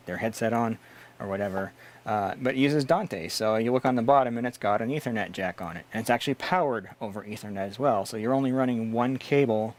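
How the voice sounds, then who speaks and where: A man's voice: on the low side, a little hiss or hum. A man hosting a gear video, explaining products in a casual, conversational way.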